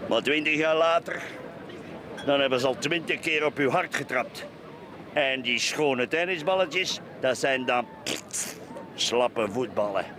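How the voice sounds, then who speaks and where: A man's voice: medium pitch, expressive, unhurried, pauses often, noisy background, lightly acted. An actor playing a grumpy, prudish middle-aged man in a Flemish radio play, blustering and muttering with a Flemish accent. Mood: cynical. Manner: blustering, grumbling, exclaims and mutters. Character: grumpy, prudish, boastful heavyset middle-aged bourgeois beach-café customer.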